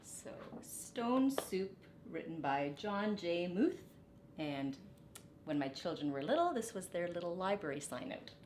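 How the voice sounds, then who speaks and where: A middle-aged woman reading a storybook aloud like a teacher, deliberate and expressive, with short explanatory asides. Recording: roomy echo, faint rumbling background noise.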